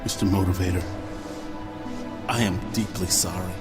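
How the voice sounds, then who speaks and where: A man's voice: sits low, fairly even intonation, moderate pace, close to an everyday voice. A man playing an anxious, self-doubting former mayor awaiting judgment in an audio drama, earnest and often stammering. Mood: uneasy. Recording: long hall reverb with clear music behind.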